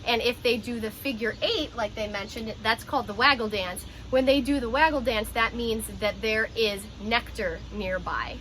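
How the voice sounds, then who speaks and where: Female teacher for children's read-aloud: higher-pitched, crisp and bright, instructive and expressive, slight background noise. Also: moderate pace, few pauses, poor audio. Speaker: teacher reading a picture book aloud. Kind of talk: children's storybook read-aloud with explanatory asides.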